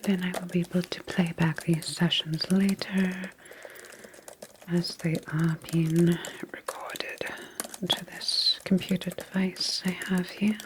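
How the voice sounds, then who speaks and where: A woman in an ASMR roleplay, speaking in a breathy whisper as a serene, faintly sinister sleep clinic doctor, with soothing, deliberate reassurance. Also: on the low side, flat delivery, noisy background.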